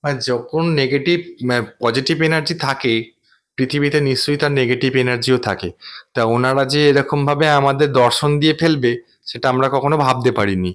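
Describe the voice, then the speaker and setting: A man's voice: mid-range voice, brisk. A man hosting a vlog, recounting a personal experience in an earnest, wordy, explanatory way. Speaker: vlogger and host.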